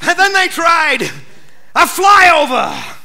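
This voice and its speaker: A theatrical high-pitched wisecracking private eye. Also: male voice, sing-song, slow, creaky, bright, forceful tone, a little hiss or hum, full character voice. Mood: escalating outrage. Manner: theatrical, wry, sardonic. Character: hard-boiled wisecracking private detective, world-weary narrator, man in his thirties. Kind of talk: comic noir live radio play acting. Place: theatre studio stage with live audience. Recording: live stage radio play, music underneath.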